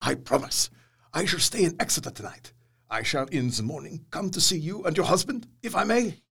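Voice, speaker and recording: Casting: effusive older foreign doctor for gothic audio drama; gravelly creak, raised pitch, florid and exuberant. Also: male voice, very animated, fairly quick, pushed and bright, full character voice. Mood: earnest, solemn.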